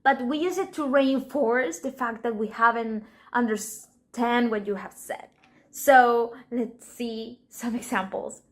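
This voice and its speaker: A Colombian woman, a native Spanish speaker, giving a casual Spanish lesson in English and acting out playful example scenes. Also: on the high side. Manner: slow, playful, acts out little example scenes. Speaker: Spanish teacher. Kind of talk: casual language lesson to camera.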